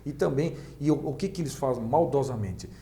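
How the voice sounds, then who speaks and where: Man, dark mellow voice, medium pitch, informal, chatty interviewer. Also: lively intonation, brisk, a little hiss or hum. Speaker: interviewer, political pre-candidate. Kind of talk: casual conversational interview.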